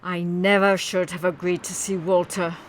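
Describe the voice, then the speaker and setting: A woman's voice: fairly low pitch, flat delivery, moderate pace, slight background noise, close to an everyday voice. An actress playing a proud, evasive older woman in a radio drama, haughty and genteel in her phrasing. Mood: bitter, resigned.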